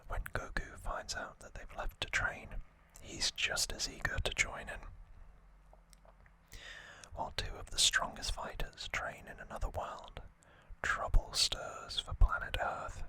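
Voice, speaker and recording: Hushed ASMR whisperer. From United Kingdom. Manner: hushed, unhurried, informal. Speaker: ASMR video host. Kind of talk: whispered ASMR, relaxed show-and-tell commentary.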